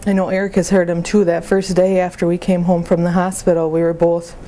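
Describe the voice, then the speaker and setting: A woman playing a grieving young mother in a support phone conversation, speaking haltingly and plainly about her feelings. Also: lowish voice, level tone, mellow, a little hiss or hum, close to an everyday voice, low-quality recording. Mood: weary, grieving. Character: grieving young mother, sad, exhausted, openly hurting. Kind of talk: role-played counseling phone conversation.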